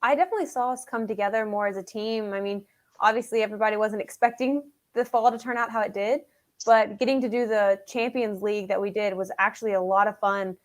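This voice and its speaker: Fast-talking young woman athlete. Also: medium pitch, fairly even intonation. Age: 20s. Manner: quick, friendly. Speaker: student athlete. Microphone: video call.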